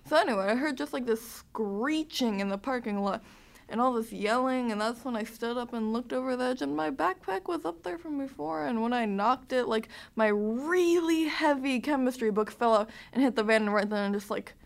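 A young woman playing a mellow, rambling stoner high-school girl who talks in slang in a radio drama. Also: normal speed, creaky.

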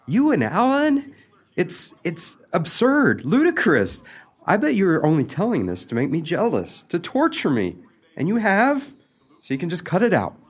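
A man's voice: high-pitched, huge pitch swings, measured pace, slightly rough, exaggerated voice. A man playing a jealous, lovesick suitor in an amateur radio play, earnest and exasperated, with a hesitant stammer.

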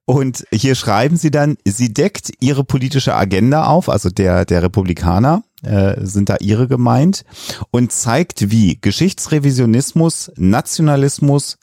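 A man's voice: mid-range voice, animated, a little slow, dark tone, no echo, studio quality. A man co-hosting a podcast interview in a conversational way. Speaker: podcast co-host.

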